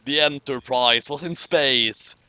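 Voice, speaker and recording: A man hosting and narrating in a casual, wordy, deliberate way. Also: mid-range voice, bright, forceful tone, no echo. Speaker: channel host and narrator. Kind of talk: casual banter and comic story reading.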